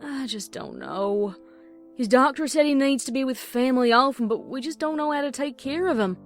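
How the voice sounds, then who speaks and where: A woman's voice: high-pitched, moderate pace, faint music behind, lightly acted. A woman with an American Southern accent voicing a proud, protective young farm mare in a cartoon pony audio drama. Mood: weary.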